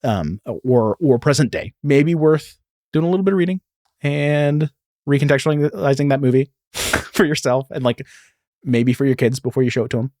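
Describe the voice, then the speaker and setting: A man's voice: mid-range voice, normal speed, a little mellow, no room sound. Casual explainer man, close dry sound. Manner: informal, digressive explainer with frequent asides. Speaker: podcast host. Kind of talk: casual explanatory storytelling about film and history.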